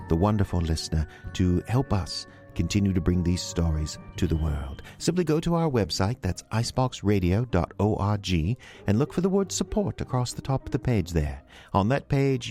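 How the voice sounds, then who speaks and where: Man putting on low, theatrical British presenter. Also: mellow. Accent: put-on British. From United States. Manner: wry, pompous, mannered. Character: pompous, wry British gentleman presenter. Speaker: podcast host. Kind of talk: theatrical scripted narration. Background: music.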